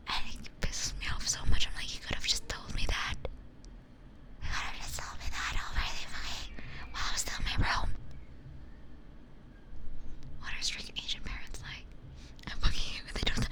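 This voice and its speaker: A woman streamer chatting casually in a breathy ASMR whisper into a close binaural microphone, telling stories in a candid, gossipy way. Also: pushed and bright. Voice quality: breathy, mostly unvoiced whisper.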